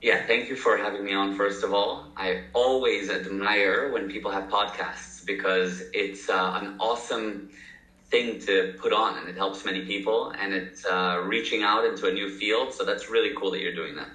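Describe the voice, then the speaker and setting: A man's voice: lowish voice, steady tone, moderate pace, bright, forceful tone. Upbeat man, mixed accent, echoey room. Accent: mixed American and South African. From South Africa and the US. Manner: talkative, upbeat, storytelling with fillers. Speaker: entrepreneur guest. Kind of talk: casual interview talk.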